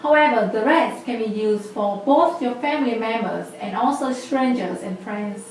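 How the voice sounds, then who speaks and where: A woman's voice: on the high side, moderate pace, heavy reverb, recorded from a distance, low-quality recording. A woman in her twenties with a Vietnamese accent, patiently explaining a language lesson step by step. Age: 20s. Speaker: language teacher.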